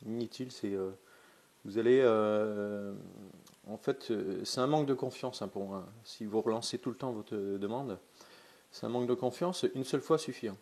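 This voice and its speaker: A man's voice: fairly low pitch, a little mellow. A man with a cold, casually demonstrating something in a vlog and talking in a hesitant, chatty way with many fillers and restarts. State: has a cold. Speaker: pendulum dowsing vlogger.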